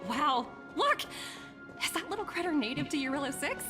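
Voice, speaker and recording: An actress voicing a poised, polite businesswoman debt collector in a video game, articulate and measured. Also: high-pitched, big pitch swings. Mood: delighted. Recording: background music under the voice.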